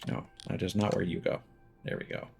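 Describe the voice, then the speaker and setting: A man's voice: low-pitched, level tone, brisk, gravelly, a little mellow, faint music behind. A man doing casual live gameplay commentary, muttering to himself in short reactions over game sound. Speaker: video game streamer.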